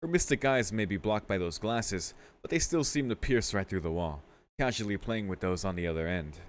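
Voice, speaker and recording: A man's voice: on the low side, lively intonation, a little fast. A man hosting a let's play, reading a visual novel aloud with informal, amused commentary. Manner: amused, sweary, informal asides.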